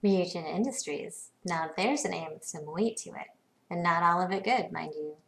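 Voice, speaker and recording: A woman's voice: fairly low pitch, normal speed, vocal fry. An actress playing a confident, probing businesswoman in a video game, with a composed, knowing delivery. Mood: cool, knowing. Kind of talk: scripted game dialogue.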